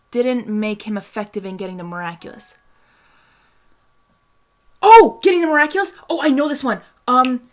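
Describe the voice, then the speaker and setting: Chatty young woman from Hungary. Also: fairly high pitch. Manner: chatty, laughs often, many fillers and restarts. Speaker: host answering viewer questions. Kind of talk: casual fan discussion livestream.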